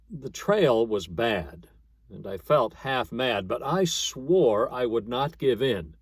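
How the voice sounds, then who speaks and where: A man reading a narrative poem aloud as a dramatic storyteller, with measured, rhythmic delivery. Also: mid-range voice, breathy edge, dry recording. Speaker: narrator, poetry reader.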